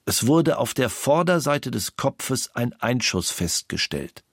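A man's voice: medium pitch, big pitch swings, slow, slightly rough, dry recording, heavily acted. Detached male investigator, dry sound. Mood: clinical, detached. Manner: clinical, formal, detached. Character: official male investigator reporting crime-scene findings. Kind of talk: scripted documentary reading of a police report.

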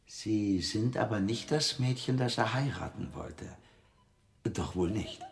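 A man's voice: on the low side, measured pace, gravelly. A man playing a blunt, eerily courteous asylum patient in a German radio drama, with curt, mock-polite phrasing. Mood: dryly probing.

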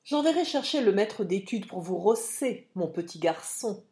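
A woman's voice: mid-range voice, expressive, normal speed, mellow, natural voice. A woman voicing a burly, haughty English army captain in an audiobook, formal and sarcastic in manner. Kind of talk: audiobook character acting.

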